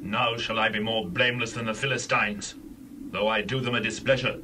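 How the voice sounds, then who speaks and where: A man playing a proud, vengeful biblical strongman in a dramatized scripture reading, speaking in a deliberate, declamatory way. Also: fairly low pitch, steady tone, background noise, close to an everyday voice. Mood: defiant.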